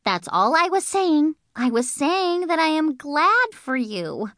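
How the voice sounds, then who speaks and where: A woman voicing a whiny tattletale little girl, squealing and pleading in a shrill voice. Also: sing-song, takes it slowly, fairly bright tone, no echo, clean studio sound, exaggerated voice.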